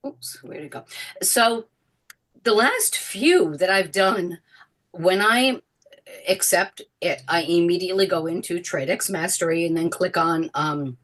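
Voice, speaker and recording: A woman who is sick, with a raspy voice, chatting casually on a call and laughing often. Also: fairly low pitch, unhurried, fairly bright tone. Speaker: caller. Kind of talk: casual chat.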